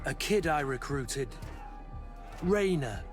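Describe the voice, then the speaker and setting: An actor playing a brooding veteran mercenary guard in a video game, terse, blunt and earnest. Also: male voice, fairly high pitch, animated, slow, faint music behind. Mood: restrained anger.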